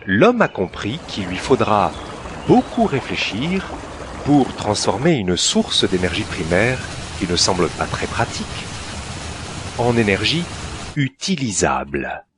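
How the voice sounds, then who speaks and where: Slow, very animated male narrator. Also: lowish voice, noisy background. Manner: storytelling, deliberate with dramatic pauses. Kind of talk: scripted educational cartoon narration for children.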